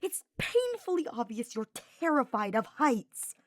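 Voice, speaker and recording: A woman's voice: sits high, sing-song, measured pace, dry recording, voice pushed up. A woman voicing a teasing, easygoing young male knight in a fantasy story, slangy and informal. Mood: huffy.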